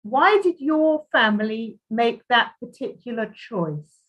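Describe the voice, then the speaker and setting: A woman interviewer putting prepared questions in a measured, thoughtful way. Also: a little high, animated. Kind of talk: prepared questions in a live conversation. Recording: dull, muffled audio.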